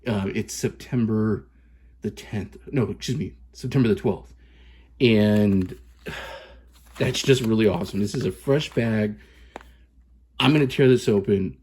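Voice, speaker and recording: A man in his thirties from Southern California, chatting excitedly and casually as he reviews coffee, with plenty of fillers and restarts. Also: lowish voice, measured pace, pauses often, slightly rough. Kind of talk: casual vlog chat.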